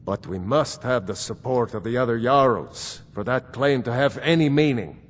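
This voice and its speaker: A man voicing a stern, loyal steward of a fantasy court in a video game, speaking formally and with measured admonishment. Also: medium pitch, expressive, close to an everyday voice. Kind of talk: scripted game dialogue. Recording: long hall reverb.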